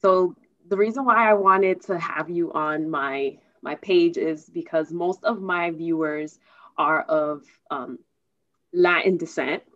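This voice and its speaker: American woman interviewer, lowish voice, kinda halting and repeats herself, slightly muffled audio. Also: a bit bright, no room sound. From United States. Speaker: host interviewing a guest. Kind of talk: casual conversational interview.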